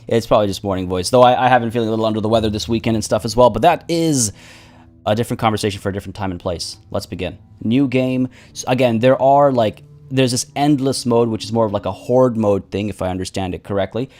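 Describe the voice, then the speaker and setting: A fairly low-voiced man streaming a game, chatting loosely to his viewers. His voice sounds slightly rough from the morning, and he rambles and restarts his sentences often. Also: fast, darkish tone. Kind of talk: casual live-stream chat.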